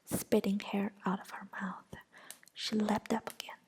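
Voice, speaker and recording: A woman reading a novel aloud in a soft, whispered storybook style, like ASMR. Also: mid-range voice, level tone, measured pace, gravelly, husky, poor audio. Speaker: reader.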